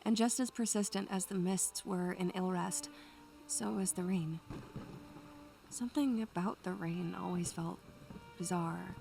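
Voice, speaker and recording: Woman doing bookish anxious young student girl narrating a gothic audio drama, bit of vocal fry. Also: fairly low pitch, unhurried, mellow, soft background music. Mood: brooding, wistful. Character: bookish, anxious nineteen-year-old female student narrating her memories. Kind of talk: atmospheric first-person scripted narration.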